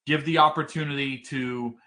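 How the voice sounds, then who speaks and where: A man with a deep, commanding voice teaching a webinar, explaining points steadily and often checking in with a quick "right?". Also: steady tone, bright, forceful tone. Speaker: trainer. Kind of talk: webinar lecture.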